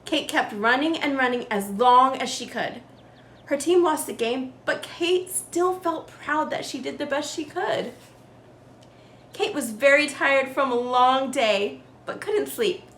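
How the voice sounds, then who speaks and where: A woman reading a children's storybook aloud in an animated, carefully enunciated way, as a storyteller for kids. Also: sits high, measured pace. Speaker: children's read-aloud storyteller, show host. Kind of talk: scripted storybook narration for kids. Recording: roomy echo with faint background noise.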